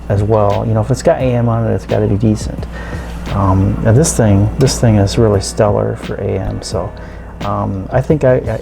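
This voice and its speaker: Man with creaky voice, casually reviewing gadgets. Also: medium pitch. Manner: hesitant, halting, thinking aloud. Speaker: radio hobbyist reviewer. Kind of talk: casual unscripted product review.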